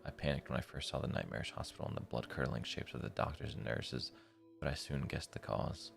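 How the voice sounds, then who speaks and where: A man reading a visual novel's inner monologue as a tormented, bitter young man, in a very deep, gravelly voice with a measured literary delivery. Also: soft background music, heavily acted. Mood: bleak, weary. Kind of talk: read-aloud visual novel narration.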